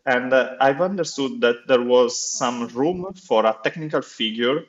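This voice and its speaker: A man's voice: medium pitch, unhurried, lo-fi sound. A man in his thirties with an Italian accent, speaking English as a second language as a podcast guest, hesitant with many filler sounds. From Italy. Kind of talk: interview conversation.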